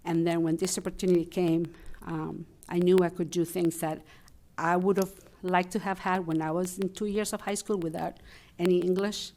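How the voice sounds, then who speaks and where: A woman's voice: lowish voice, level tone, normal speed, dark tone. Chilean woman. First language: Spanish. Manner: candid, hesitant with fillers. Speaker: family liaison and interpreter. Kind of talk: personal story at a meeting.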